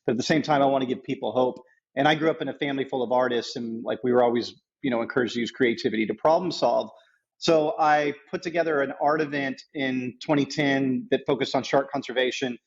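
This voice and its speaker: A middle-aged man with a husky, creaky voice tells his story as an interview guest. He goes haltingly, doubling back on phrases, and the recording is a little dull. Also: medium pitch. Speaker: podcast guest, nonprofit founder.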